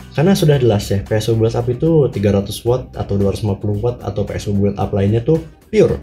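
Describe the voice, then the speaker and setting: Slightly hoarse man, chatty tech explainer. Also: on the low side. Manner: chatty, self-correcting, drops slang fillers. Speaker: tech YouTuber. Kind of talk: casual talk-to-camera explainer. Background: soft guitar music.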